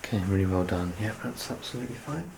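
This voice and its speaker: A man in an ASMR medical roleplay, playing a doctor who gives gentle, reassuring, soft instructions. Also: fairly low pitch, animated, quick pace, vocal fry, background noise.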